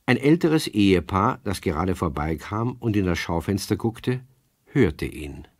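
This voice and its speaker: A man narrating a children's radio play as an expressive storyteller, reading from a script. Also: sits low, a little slow, dry recording.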